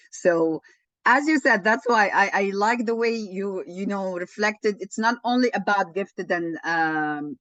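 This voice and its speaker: A woman leading an online teaching session, slow and encouraging, often thanking participants. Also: medium pitch, a bit bright, no echo. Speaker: webinar lecturer. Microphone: video call. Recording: dull online call audio.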